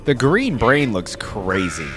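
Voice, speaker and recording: Casual male reactor with lively pitch. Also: takes it slowly, over music. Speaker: video creator reacting to game skins.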